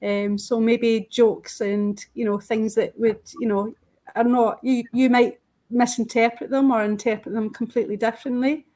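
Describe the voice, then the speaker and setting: A woman's voice: a little high, little pitch movement, moderate pace, mellow. A woman musing hesitantly, with many fillers. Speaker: guest. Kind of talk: casual fan discussion.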